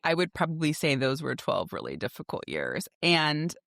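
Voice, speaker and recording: Woman, forties, podcast co-host, animated intonation, emphatic casual talk, dry close sound. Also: deep voice, normal speed, gravelly, no echo. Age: 40s. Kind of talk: casual chat.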